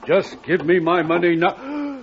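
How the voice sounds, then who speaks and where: An actor playing a stubborn, blunt, scholarly creditor, accusing and unbending, in 1950s radio drama style. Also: male voice, high-pitched, big pitch swings, measured pace, a crowd in the background, heavily acted. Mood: unbending, indignant. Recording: muffled, lo-fi vintage radio recording.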